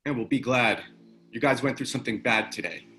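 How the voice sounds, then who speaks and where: A man's voice: mid-range voice, fairly quick, pushed and bright, soft background music. A man playing a fellow cop in a screenplay table read, casual and needling yet concerned. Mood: knowing, concerned.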